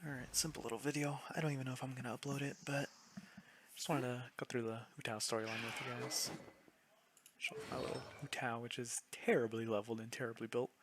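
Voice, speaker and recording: A man's voice: medium pitch, level tone, fast talker. A man giving casual, self-deprecating commentary as a gaming video creator. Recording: faint noise, clicking.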